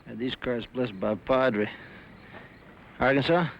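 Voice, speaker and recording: A man acts out a touchy, defensive Western gambler. He talks in a folksy, confrontational way at a middling pitch, on a dull recording with faint noise. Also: takes it slowly, creaky. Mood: taunting. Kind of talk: Western drama acting.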